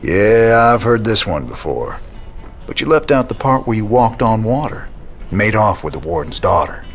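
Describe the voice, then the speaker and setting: An actor playing a weary, determined rebel leader, laconic and wry. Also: male voice, fairly low pitch, big pitch swings, moderate pace, soft background music. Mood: dry, teasing.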